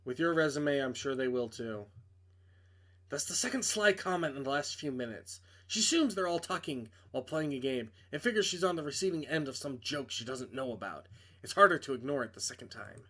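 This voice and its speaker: Male reader, slightly high register, dramatic narration of a visual novel, a little prone to stumbles. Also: lively intonation, fairly quick, fairly bright tone. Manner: dramatic storytelling delivery, stumbles over words.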